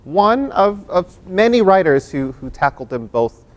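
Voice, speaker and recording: A man's voice: high-pitched, sing-song, measured pace. A man hosting an arts discussion, addressing guests and viewers at home in an emphatic, theatrical way with repeated phrases. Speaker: host and moderator of an arts talk. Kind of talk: warm-up hosting talk to a home audience and panel.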